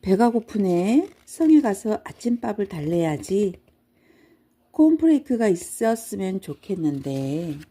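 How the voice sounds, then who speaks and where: Woman plays helpful young boy in storybook; polite, curious, mellow tone. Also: medium pitch, sing-song, unhurried, slightly rough. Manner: polite, matter-of-fact. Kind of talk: children's storybook character voice.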